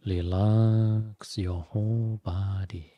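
A man leading a guided meditation as a teacher, giving gentle instructions in short phrases with long pauses. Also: lowish voice, steady tone, dry recording, lo-fi sound. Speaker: Buddhist monk, meditation teacher.

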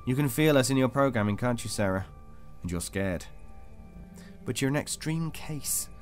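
An actor playing a calm, clinical and controlling interrogator in an audio drama, speaking in a measured, patronising way. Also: male voice, mid-range voice, soft background music, lightly acted. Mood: probing.

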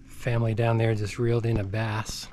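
A man's voice: fairly low pitch, flat delivery, moderate pace, darkish tone, slight background noise. Easygoing middle-aged man narrating outdoors. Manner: easygoing, chatty, reads signs aloud. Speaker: hiking vlogger. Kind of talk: casual walking narration. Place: outdoors on a farm by a bay.

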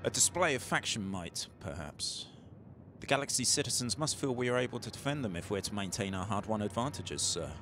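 Man voicing respectful military subordinate, late forties, measured and formal, fairly low voice, science-fiction drama. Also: animated, fairly bright tone. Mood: deferential. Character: deferential military lieutenant, late forties, calm and dutiful. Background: music.

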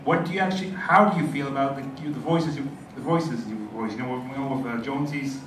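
A man in the audience asking a halting question, searching for words. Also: medium pitch, noisy background. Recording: echoey hall, far from the mic.

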